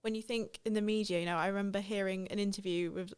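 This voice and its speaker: Woman podcast host: chatty, enthusiastic, talks fast, mid-range voice. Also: medium pitch, fairly even intonation, smooth. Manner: chatty, enthusiastic, quick back-channel yeahs. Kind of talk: casual interview chat.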